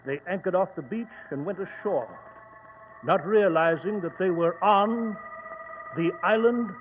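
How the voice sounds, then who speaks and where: A man with a high voice tells a story in the measured manner of vintage radio. The sound is thin and narrow, with clear background hiss. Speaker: narrator. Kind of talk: old-time radio story narration, scripted. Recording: tinny old radio recording, clear hiss.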